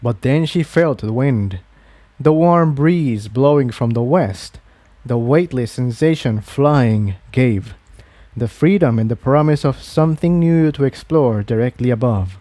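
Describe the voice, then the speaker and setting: A man's voice: medium pitch, animated, a little slow, warm tone, no room sound. A man reading a fantasy adventure story aloud as its narrator. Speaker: fan fiction narrator.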